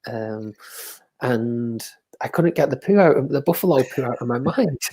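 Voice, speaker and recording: A man who works with children shares his reflections in a soft, thoughtful way, his voice often trailing off quietly. The tone is husky and breathy at a middling pitch. The sound comes over a video call. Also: animated, normal speed. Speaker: educator and co-host. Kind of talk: casual reflective chat.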